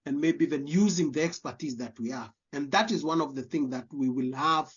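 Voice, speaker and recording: A man's voice: fairly high pitch, brisk, slightly rough. Earnest Kenyan man, dull lo-fi audio. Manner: earnest, repeats words while searching for phrasing. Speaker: guest, diaspora community leader. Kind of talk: interview answers.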